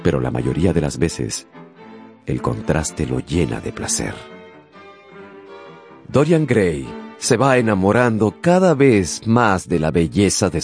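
A man's voice: low voice, a little mellow. A man narrating a literary radio drama in a scripted, dramatic storytelling style. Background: music.

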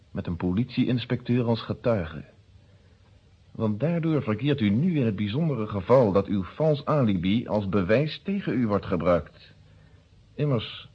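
An actor in a radio play voicing a shrewd, methodical police inspector, speaking in a measured, formal and articulate way. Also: male voice, on the low side, husky, exaggerated voice. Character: male police inspector, shrewd, methodical, quietly triumphant accuser. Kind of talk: Dutch radio drama acting, formal deduction. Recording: dull, muffled old radio recording.

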